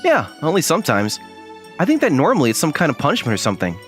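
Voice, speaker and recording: Man acting a bemused college guy, very animated pitch. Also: quick pace. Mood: dry, bemused. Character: ordinary young male college student, self-deprecating, bemused, guarded. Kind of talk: anime-style dub with first-person narration and inner monologue. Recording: music behind the voice.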